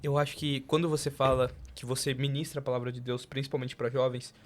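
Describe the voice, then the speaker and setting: A man's voice: mid-range voice, level tone, quick pace. A man with a Brazilian accent hosting a podcast in casual conversation. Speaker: podcast host.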